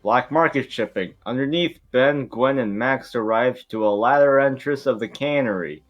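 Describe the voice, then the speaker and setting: A man's voice: medium pitch, normal speed, highs cut off, faint music behind. A man reading stage directions aloud in an amateur script read, sometimes stumbling over the words.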